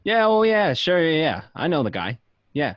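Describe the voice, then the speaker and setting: A man's voice: on the high side, sing-song, moderate pace, no echo. A man acting a casual, streetwise gangster who narrates his own life in an amateur radio crime drama. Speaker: actor in an online radio play. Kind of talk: amateur script reading, first-person crime drama. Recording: dull lo-fi home connection.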